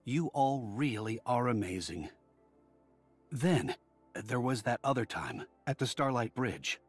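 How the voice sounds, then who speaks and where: A man's voice: mid-range voice, expressive, takes it slowly, husky, soft background music. An actor voicing an earnest, admiring but cautious man in a video game, talking like an articulate storyteller. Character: earnest, admiring, cautious male fan of war heroes.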